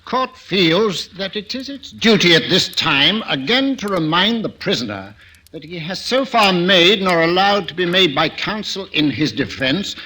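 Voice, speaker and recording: A man's voice: high-pitched, big pitch swings, unhurried, sharp tone, breathy edge, full character voice, low-quality recording. An actor playing a stern, pompous male judge who hesitates between formal pronouncements, in a 1940s radio drama.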